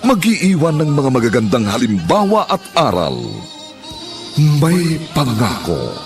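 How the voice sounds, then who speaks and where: A Filipino man announcing a radio drama in a solemn, grandiose, poetic and drawn-out style. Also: medium pitch, wildly swooping pitch. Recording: faint music bed. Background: music.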